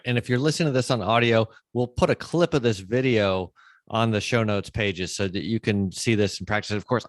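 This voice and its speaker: Low-voiced man hosting, dry close sound. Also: a bit bright, dry recording. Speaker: podcast host. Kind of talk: conversational interview.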